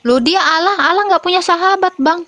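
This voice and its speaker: A woman defending her faith in a debate over a voice call, assertive and firing back quick rhetorical questions. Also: very high voice, pushed and bright, dry recording.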